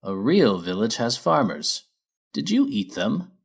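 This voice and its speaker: A man puts on an old storybook pony who hauls a wagon. He is folksy and plain-spoken with a wary streak, and his pitch rises and falls freely. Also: big pitch swings, unhurried, vocal fry, exaggerated voice. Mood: skeptical.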